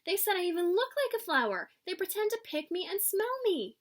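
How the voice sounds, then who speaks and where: A woman's voice: very high voice, big pitch swings, brisk, voice pushed up. A woman voicing a sensitive, dejected little schoolgirl in a storybook, speaking plaintively. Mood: miserable.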